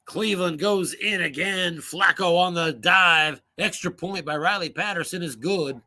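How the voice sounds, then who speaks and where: A man calling solo play-by-play for a tabletop football game, brisk and rising on big plays, losing his voice and coughing now and then. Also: high-pitched, pushed and bright.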